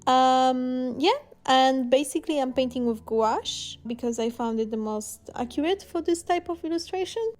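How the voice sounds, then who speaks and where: A woman's voice: high voice, moderate pace, darkish tone. A young woman with a Polish Slavic accent, an art teacher giving a casual, chatty voice-over for a painting video. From Poland. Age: young adult.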